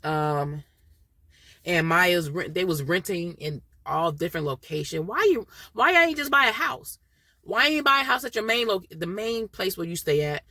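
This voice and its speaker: This is a woman with a bright, crisp voice who sounds stuffy from a cold. She chats loosely and laughs often, and the recording sounds dry and close. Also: lowish voice, animated, moderate pace, no echo. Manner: chatty, laughs often, loose slangy talk. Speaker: reality TV reviewer. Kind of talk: casual chat.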